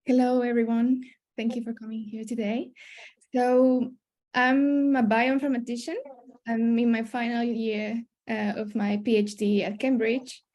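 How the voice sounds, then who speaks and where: Young woman, fairly high voice, science panelist speaking about her own path. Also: flat delivery, unhurried, a little mellow. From born in Colombia, raised in Spain. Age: young adult. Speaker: PhD student and science communicator, panelist. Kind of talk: self-introduction at a webinar. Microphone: video call.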